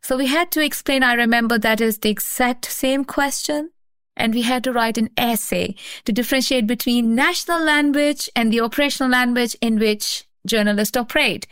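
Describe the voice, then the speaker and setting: A woman's voice: on the high side, normal speed, a bit bright, clean tone, no echo, studio quality. A woman from Pakistan, a researcher, earnestly explaining her work in a conversation and often repeating her phrases. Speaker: researcher, guest. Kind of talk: academic conversation.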